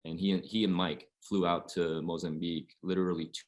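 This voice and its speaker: A man in his forties from Oregon, a high school basketball coach, telling his story at length on a webinar panel, with many fillers. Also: little pitch movement, brisk. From Oregon, USA. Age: 40s.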